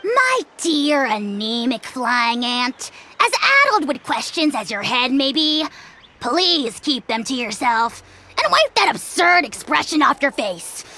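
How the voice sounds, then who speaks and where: A woman's voice: sits high, very animated, measured pace, bright, forceful tone, exaggerated voice. A woman voicing a tiny, haughty non-human creature who calls itself a dragon lord, speaking grandly in the royal we and mocking others, in a video game. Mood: scornful. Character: tiny pompous self-crowned dragon lord, haughty and theatrical.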